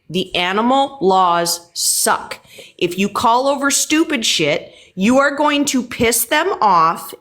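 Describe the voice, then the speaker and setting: A woman lays down the law in a livestream chat, blunt and exasperated. She lectures her listeners with curse words and firm repetition, at a middling pitch. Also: a bit bright. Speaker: livestream guest.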